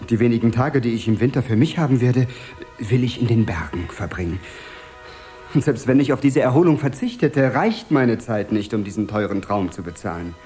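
Radio drama: male actor as anxious, apologetic male clerk, hesitant delivery, very lively pitch. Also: normal speed, faint music behind, lightly acted. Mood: regretful. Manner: hesitant, polite, stammering apologies. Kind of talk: 1970s German-language radio drama acting.

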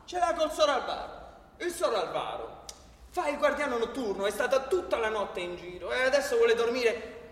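A man with a Roman accent narrating a radio drama as a theatrical storyteller, expressive and colloquial, with dramatic pauses. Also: very high voice. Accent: Roman Italian. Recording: echoey hall with long reverb.

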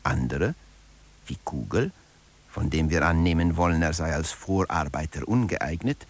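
Very deep, animated male theorist lecturing. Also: normal speed, full character voice. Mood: didactic. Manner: didactic, formal. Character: male management theorist lecturing on his own principle. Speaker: narrator. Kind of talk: scripted lecture-like radio narration.

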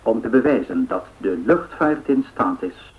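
A man's voice: lowish voice, lively intonation, unhurried. A man acting a formal, solemn 1930s newsreader in a radio drama, making official announcements. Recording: tinny, faintly noisy old radio sound.

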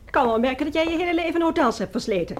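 A woman's voice: high-pitched, animated, racing, background noise. An actress playing an anxious, nagging middle-aged mother and neglected wife in a radio play, fretful and reproachful. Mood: bitter, reproachful. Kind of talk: Dutch radio play acting.

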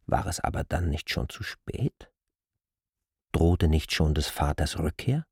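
A deep-voiced man narrates a literary radio play in diction. His voice is husky, recorded very dry in a studio. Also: animated, measured pace, darkish tone, dry recording.

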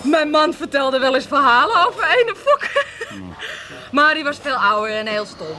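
A feisty young Amsterdam woman in a radio comedy. Her voice is bright and sharp and jumps widely in pitch. She talks in a sassy, colloquial way. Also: sing-song, moderate pace, exaggerated voice. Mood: giggly. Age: young adult. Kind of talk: 1980s radio comedy acting.

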